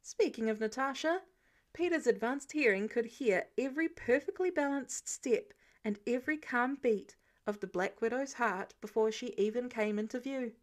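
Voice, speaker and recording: A woman narrating fan fiction in an expressive storytelling style. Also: a little high, normal speed.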